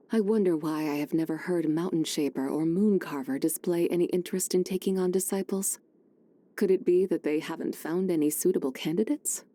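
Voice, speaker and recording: A woman acts out an ancient, serene female immortal from a video game. Her voice is lowish and softly dark, with very little edge. She speaks in courteous, measured, old-fashioned sentences, wise and a little wistful. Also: natural voice. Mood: composed, musing. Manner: formal, measured, genteel old-fashioned phrasing. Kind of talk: scripted game character voice lines.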